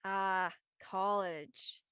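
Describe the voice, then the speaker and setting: A woman's voice: mid-range voice, steady tone, very slow, fairly bright tone, smooth, no echo. A woman playing an easygoing adult aunt in a naturalistic live script reading. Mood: hesitant, reflective. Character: easygoing adult aunt recalling her college years. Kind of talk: naturalistic live table-read acting.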